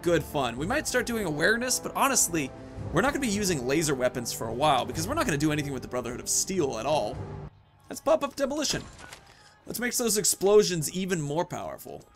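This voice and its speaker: Man in his thirties, slightly high voice, casual gaming host, playful rambling commentary, faint music behind. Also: sing-song, fast talker. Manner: rambling, playful, talks to himself and the game.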